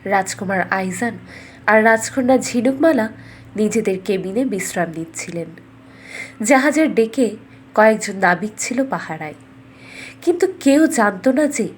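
A woman storyteller narrating a scripted fairy tale in a measured, dramatic way. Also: mid-range voice, slight background noise.

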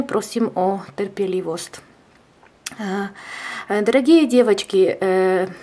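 A woman's voice: mid-range voice, a little mellow. Hesitant woman teaching crochet. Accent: Czech. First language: Czech. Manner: hesitant, many filler sounds. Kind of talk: tutorial explanation. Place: indoors at a table.

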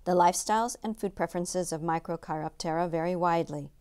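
A woman's voice: on the low side, quick pace, a little mellow, clear, resonant tone. A woman reading a children's picture book aloud as a storyteller, with careful phrasing and dramatic emphasis.

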